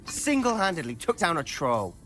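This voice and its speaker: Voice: mid-range voice, very animated, moderate pace, a bit bright, soft background music. A performer playing a friendly, eager young British student companion in a video game, chatty and wry. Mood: cheerful.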